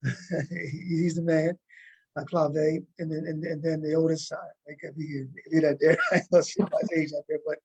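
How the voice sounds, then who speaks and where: Higher-voiced man, halting interviewer. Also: flat delivery, a little mellow, husky, rough recording. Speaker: interviewer, fellow cast member. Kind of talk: conversational interview questioning.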